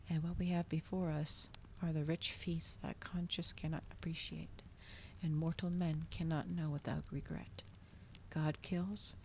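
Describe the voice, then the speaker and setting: A woman doing a soft, whispered ASMR reading as a streamer, slow and breathy, in a hushed manner. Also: deep voice, slight background noise. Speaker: streamer host reading aloud.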